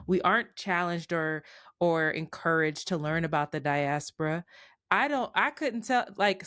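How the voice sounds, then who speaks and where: A woman's voice: lowish voice, no room sound, clean studio sound. A woman talking through her thoughts in a candid, casual podcast chat, with some casual swearing.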